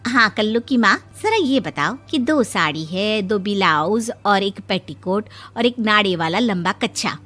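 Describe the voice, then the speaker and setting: A woman's voice: mid-range voice, animated, unhurried, few pauses, fairly bright tone, soft background music, pitched below the performer's own voice. A woman voicing a nosy middle-aged neighbor woman in a cartoon story, teasing and gossipy.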